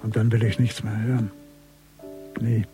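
An actor playing a bitter, haunted military man's inner thoughts in an intimate radio drama monologue, with a gravelly voice. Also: lowish voice, little pitch movement, normal speed, warm tone, soft background music, close to an everyday voice. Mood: weary, bitter. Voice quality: creaky, gravelly.

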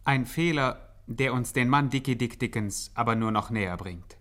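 A pompous commentator acted by a man with a mid-pitched voice. He declaims solemnly and grandly, as a mock philosopher in a 1950s radio play. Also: normal speed, a bit bright, close to an everyday voice.

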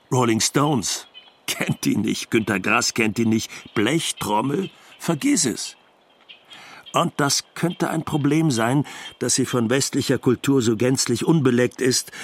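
A man's voice: mid-range voice, breathy edge. A talkative Western doctor living abroad, in a mid-range voice. He is dry, self-ironic and colloquial, chatting through a radio play monologue. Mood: wry, amused. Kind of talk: documentary radio play monologue, conversational storytelling.

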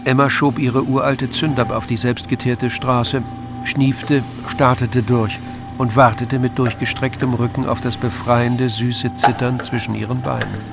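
A man narrates a literary story in a gravelly, creaky voice of medium pitch. Music plays clearly behind his scripted reading. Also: level tone, unhurried.

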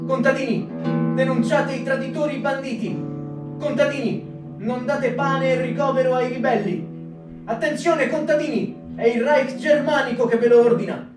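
A man's voice: very high-pitched, moderate pace. A man voicing a stern wartime authority, reading decrees in a stage reading for radio, with music behind. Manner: stern official proclamation. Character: stern adult male voice of occupying authority. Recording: roomy, distant mic, music behind.

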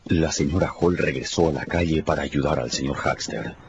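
A man's voice: a bass voice, a little fast, vocal fry, darkish tone, slight background noise. A man narrating a radio novel in a dramatic, scripted storytelling style. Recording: muffled radio production with music underneath.

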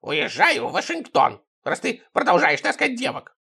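A man's voice: sits high, wildly swooping pitch, normal speed, creaky, bright, forceful tone, breathy edge, pitched above the performer's own voice, full character voice. A man voicing a pitiful old spy in a story, whining tearfully and full of self-pity. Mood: aggrieved.

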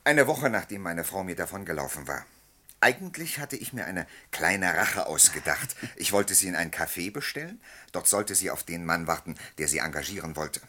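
Bright, animated low-voiced man. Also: moderate pace, exaggerated voice. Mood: jovial, pleased. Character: cheerful, self-satisfied writer and reconciled husband. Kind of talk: German radio play acting.

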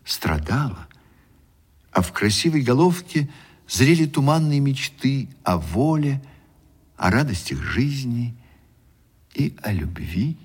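An actor playing a sympathetic storyteller, narrating a literary radio drama in a measured, expressive way. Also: male voice, medium pitch, slightly rough. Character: sympathetic male storyteller of a sad tale. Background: soft guitar music.

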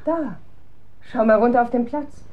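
An actress playing an observant, opinionated wife in naturalistic radio drama, conversational and pointed. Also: female voice, a little high, moderate pace, narrow, tinny audio, close to an everyday voice. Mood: curious, observant.

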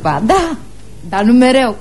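A woman's voice: fairly high pitch, very animated, a little mellow, slight background noise. An actress playing a playful, frank, impulsive schoolgirl of almost eighteen, in love, in a girlish, teasing radio theatre style.

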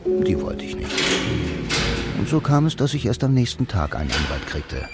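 A man's voice: lowish voice, sing-song, normal speed, over loud music. An actor playing a blind man who narrates his murder case in a brooding, laconic radio noir style. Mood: brooding, reflective. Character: blind male bank employee, lonely noir narrator accused of murder. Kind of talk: radio drama first-person noir narration.

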